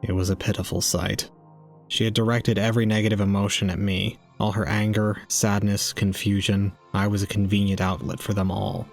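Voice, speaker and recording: A man playing a brooding, detached young man narrating his inner thoughts gravely in an audio drama. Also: lowish voice, little pitch movement, moderate pace, faint music behind. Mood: cold brooding.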